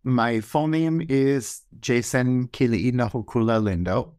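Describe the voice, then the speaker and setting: A man from Hawaii telling his family history as a podcast guest, in a conversational, measured way with occasional ums. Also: medium pitch, lively intonation, darkish tone, clean tone, no echo, studio quality. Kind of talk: personal storytelling.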